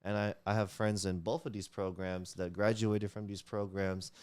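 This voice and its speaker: A man's voice: on the low side, little pitch movement, normal speed, darkish tone, clear, resonant tone, no echo. A man, a Tagalog speaker, talking earnestly as a guest in a casual interview, with many fillers and restarts. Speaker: community leader, guest.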